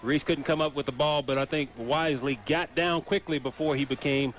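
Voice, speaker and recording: A man's voice: on the high side, steady tone, brisk, fairly bright tone, slight background noise. An American man giving color commentary and analysis between plays on a football radio broadcast.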